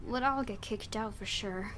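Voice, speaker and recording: A woman reading a visual novel game aloud in an expressive storytelling style, with her own asides. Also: medium pitch, normal speed, slight background noise, low-quality recording.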